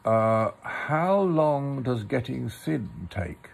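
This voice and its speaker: A man plays a gloomy storybook bear, slow and hesitant. The voice is very creaky and husky, worried and a little dim, dragging out each phrase. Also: mid-range voice, sing-song, full character voice. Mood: anxious. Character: anxious, gloomy, slow-witted male toy bear.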